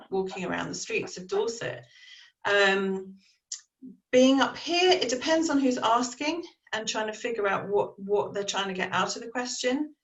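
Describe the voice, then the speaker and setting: A woman's voice: medium pitch, a bit bright. Reflective English woman, echoey room. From England. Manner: reflective, measured, candid. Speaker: panelist, community organiser. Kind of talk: panel discussion.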